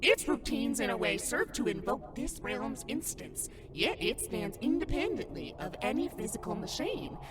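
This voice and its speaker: High, swooping grandmotherly ghost. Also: very animated, moderate pace, hardly any pauses, loud music behind the voice. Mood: grand, mysterious. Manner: theatrical, doting, teasing, with grand storybook phrasing. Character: playful grandmotherly ghost sprite in clown garb, mysterious guide. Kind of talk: fandub character acting.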